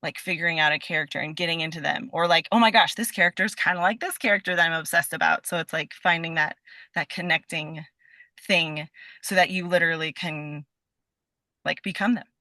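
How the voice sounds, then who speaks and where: Woman, bright forceful voice, dry close sound, casual interview guest, scattered enthusiastic talk full of "like". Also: deep voice, fairly quick, no room sound. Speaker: guest, voice actor.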